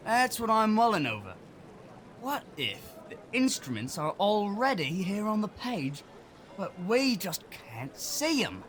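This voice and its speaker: A man's voice: high voice, sing-song, a little slow, a bit bright, exaggerated voice. A man playing a bookish, inventive street boy in an audio drama, hesitant as he thinks aloud. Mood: musing, eager. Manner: hesitant, musing.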